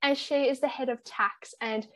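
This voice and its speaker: A woman's voice: high-pitched, fairly even intonation, moderate pace, dry recording. A woman hosting a podcast, introducing her guest and asking questions in an upbeat, enthusiastic way. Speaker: podcast host. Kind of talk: scripted-feeling podcast hosting.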